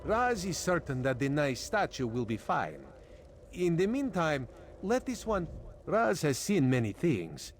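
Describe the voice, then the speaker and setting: A roguish cat-man from a fantasy game. He talks with a very animated, droll lilt, theatrical and wry, over faint background noise. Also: a little high, a little slow. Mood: wry, musing. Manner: third-person speech, droll, theatrical. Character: roguish, wry cat-man agent, speaks of himself by name. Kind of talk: fantasy video game voice acting.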